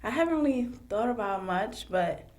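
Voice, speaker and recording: A young woman whose first language is French, a podcast guest chatting casually and thinking aloud with pauses. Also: a little high, animated, a little mellow. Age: young adult. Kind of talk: casual chat.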